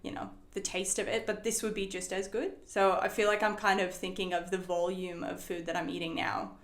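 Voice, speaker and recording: A chatty young woman, Swedish by birth, talks in an American accent full of "like" and "um". Her voice sits at a middle pitch and rings in an echoey room. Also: little pitch movement, a little fast. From Sweden. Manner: chatty, lots of fillers and likes. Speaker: guest. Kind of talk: casual interview chat.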